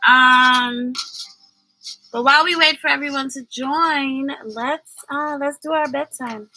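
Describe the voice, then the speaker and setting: A woman's voice: high voice, takes it slowly, bright, forceful tone, low-quality recording. A woman hosting a casual livestream as a children's reading teacher, encouraging and instructive as she talks. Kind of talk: casual livestream chat and phonics lesson with a child. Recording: music playing over the voice. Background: music.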